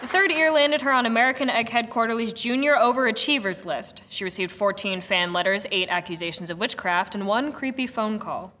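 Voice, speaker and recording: A woman's voice: fairly high pitch, brisk, few pauses, sharp tone. A woman narrating a radio drama in a wry, theatrical storybook manner. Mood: wry, storytelling. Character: wry storyteller narrating a girl inventor's tale.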